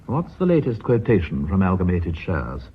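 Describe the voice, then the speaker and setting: An actor playing an urbane, commanding British company director in a mid-century radio drama, speaking formally with dry irony. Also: male voice, sits low, huge pitch swings, normal speed, breathy edge, full character voice. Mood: cool, commanding. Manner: formal, dryly ironic, authoritative. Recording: dull vintage radio recording.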